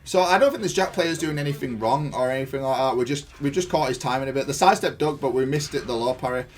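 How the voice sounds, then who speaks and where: Man, medium pitch, casual gaming commentary, informal, matter-of-fact, self-critical, player analysing own match. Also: quick pace, soft background music. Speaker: gaming content creator reviewing own match.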